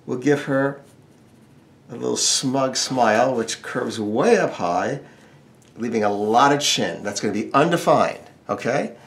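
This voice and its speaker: A man's voice: mid-range voice, animated, a little slow. A man teaching a casual step-by-step drawing tutorial, with wry, joking asides. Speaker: drawing instructor.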